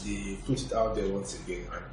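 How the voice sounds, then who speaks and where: A man's voice: low voice, steady tone, fairly quick, a little mellow. A man speaking as a podcast guest, casually giving his opinions in a film review discussion. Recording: very echoey, distant mic, background noise.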